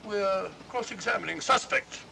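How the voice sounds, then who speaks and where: An older English gentleman doctor in a 1940s film, bumbling and blustering. His voice is high for a man, gravelly and halting, on an old soundtrack with faint noise. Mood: flustered. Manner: blustering, hesitant.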